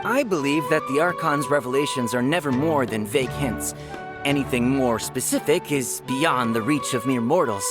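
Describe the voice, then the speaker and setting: An actor playing a showy, excitable and self-assured male fortune teller, eager and theatrical, in a video game cutscene. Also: medium pitch, normal speed, over music, close to an everyday voice. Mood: solemn awe. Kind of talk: English game dub cutscene dialogue.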